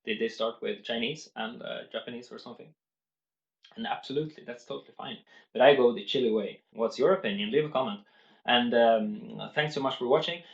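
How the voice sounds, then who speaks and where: A man's voice: medium pitch, a little fast, gravelly, roomy sound, highs cut off. A man vlogging about language learning, speaking unscripted to the camera in an earnest way and explaining step by step, with many restarts.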